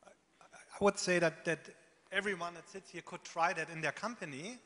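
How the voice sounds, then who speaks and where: A man moderating a conference Q&A, talking in an animated, chatty way full of fillers and laughs. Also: sits high, a little fast. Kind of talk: live Q&A moderation. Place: conference hall. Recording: reverberant conference hall.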